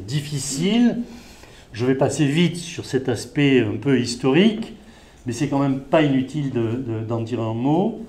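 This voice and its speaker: A man's voice: fairly high pitch, a little mellow, a little hiss or hum. A middle-aged man from southern France giving a conference talk to a live audience, speaking through a face mask that slightly muffles his voice, with frequent hesitations and restarts. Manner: hesitant, many filler sounds and restarts. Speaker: invited speaker, environmental law expert. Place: indoor venue with an audience.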